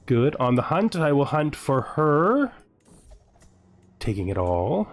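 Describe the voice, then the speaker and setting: A man's voice: a little high, sing-song, slow. A man giving casual let's-play commentary, thinking aloud and muttering short asides to himself as he plays. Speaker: gamer narrating his own playthrough.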